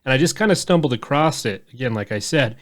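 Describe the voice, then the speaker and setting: A man's voice: mid-range voice, expressive, quick pace. Rambling man in his thirties. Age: 30s. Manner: loose and rambling, swears freely. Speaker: podcast host. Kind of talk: casual podcast chat.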